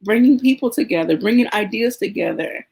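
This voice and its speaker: A woman hosting a podcast, talking sincerely and thoughtfully about her purpose, with pauses and "um" fillers. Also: on the high side, lively intonation, creaky, a little mellow, slightly rough. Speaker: podcast host. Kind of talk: casual podcast conversation.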